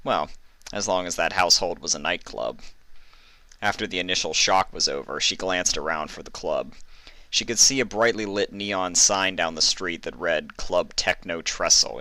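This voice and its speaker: Deep-voiced man narrating, crisp and bright. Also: dry recording. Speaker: fan fiction narrator. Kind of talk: scripted story narration.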